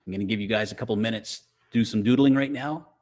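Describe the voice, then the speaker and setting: A man reading a children's novel aloud like a teacher, with expressive, dramatic storytelling delivery. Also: lowish voice, fast talker. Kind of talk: animated audiobook-style read-aloud of a children's novel.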